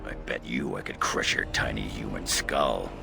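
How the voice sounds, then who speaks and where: A man voicing a gruff, boastful dwarf warrior in a fantasy game, with a husky growl and blunt, taunting delivery. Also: rumbling low, normal speed, music in the background, full character voice. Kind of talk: fantasy game dialogue acting.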